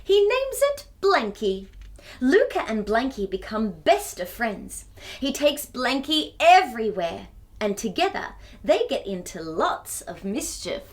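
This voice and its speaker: Animated, high-voiced woman reading to children. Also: a little slow. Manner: animated, exclaiming, asks the young listeners questions. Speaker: children's storytime presenter. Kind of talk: expressive read-aloud storytelling for young children.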